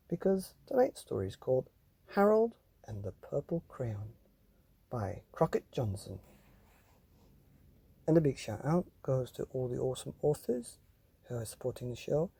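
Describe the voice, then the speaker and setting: A man with a very dark, mellow and slightly husky voice reads a bedtime story to children. His pitch swoops expressively as he draws out key phrases for young listeners. He speaks like a storytelling host, addressing the children directly. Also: no room sound.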